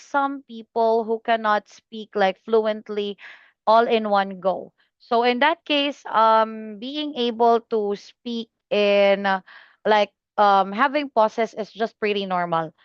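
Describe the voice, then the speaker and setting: A woman tutoring English exam students, giving feedback slowly and patiently with many fillers and pauses. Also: on the high side, smooth, no room sound, dull audio. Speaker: IELTS speaking tutor.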